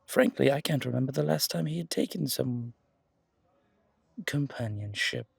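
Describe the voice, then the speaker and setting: Guy acting as a gushy flattering museum curator man, kinda raspy, really dry close sound, flirty and genteel, roleplay audio. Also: medium pitch, no room sound. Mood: flattering, discreet. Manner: genteel, gushing, hesitant pauses, repeats words. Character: effusive, flattering, flirtatious male museum curator, fawning donation-seeker.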